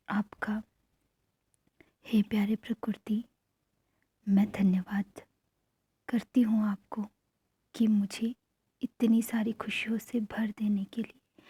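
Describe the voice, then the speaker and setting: A woman narrating guided meditation affirmations in a hushed manner, pausing between short phrases. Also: on the high side, husky, no room sound.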